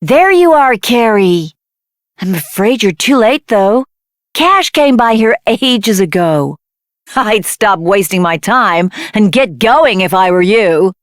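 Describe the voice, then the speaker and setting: A woman playing a teasing figure in a video game, deliberate as she addresses a latecomer. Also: mid-range voice, lively intonation, dry recording, clean studio sound. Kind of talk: video game dialogue acting.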